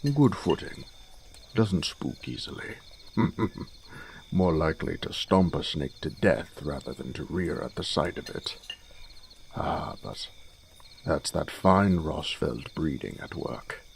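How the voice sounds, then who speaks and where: A man playing an older fatherly lord in a fantasy audio drama, genial and courtly in a measured way. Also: a bass voice, lively intonation, creaky, a little hiss or hum, full character voice. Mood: proud, genial.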